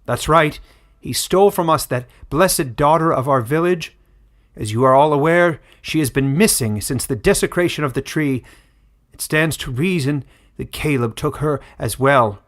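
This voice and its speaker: A man's voice: fairly high pitch, normal speed, pitched above the performer's own voice, lightly acted. Pompous old male elder , acted by a man, fantasy audiobook. Raised, declamatory, solemn and self-righteous speechmaking. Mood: accusing.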